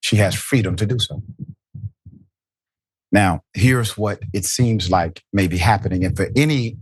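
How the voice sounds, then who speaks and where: Male host, emphatic political commentary, deep-ish voice, dry studio sound. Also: unhurried, breathy edge, no room sound, low-quality recording. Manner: emphatic, rhetorical questions. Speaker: show host.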